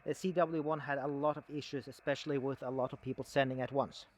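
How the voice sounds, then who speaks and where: A man giving a casual, unscripted equipment demonstration as a hobbyist, explaining things in a halting, conversational way. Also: mid-range voice, steady tone, dull audio, a little hiss or hum.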